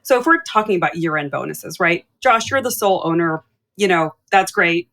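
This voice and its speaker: A woman co-hosts a business podcast, setting up her questions with candid, reflective asides. Her voice sits somewhat low for a woman. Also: animated, brisk, fairly bright tone. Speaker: podcast co-host. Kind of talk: conversational podcast interview.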